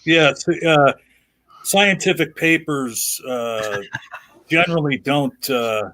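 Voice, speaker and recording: A man chatting casually on a live stream, joking and often halting mid-sentence. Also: a little high, bright, forceful tone. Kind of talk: casual chat.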